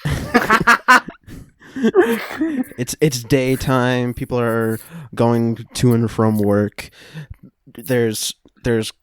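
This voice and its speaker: A man setting scenes in an improvised comedy podcast, halting and amused as he narrates. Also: medium pitch, a bit bright, clear, resonant tone, dry recording, studio quality.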